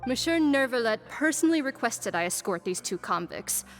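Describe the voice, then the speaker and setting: Casting: polished, teasing female officer for a game, fairly high voice. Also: big pitch swings, normal speed, soft background music. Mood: smug, teasing. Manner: polished, gently smug, teasing. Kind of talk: English video game voice acting.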